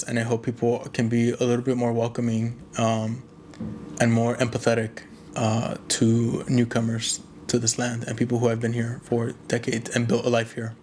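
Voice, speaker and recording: A man giving an earnest, reflective answer, pausing often with fillers. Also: medium pitch, darkish tone, a little hiss or hum. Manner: earnest, hesitant with frequent fillers.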